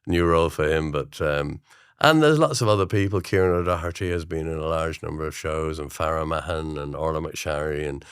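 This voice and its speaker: Very deep-voiced Irish man, halting phrasing. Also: smooth, no room sound, clean studio sound. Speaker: interview guest, amateur pantomime director. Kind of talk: casual interview chat.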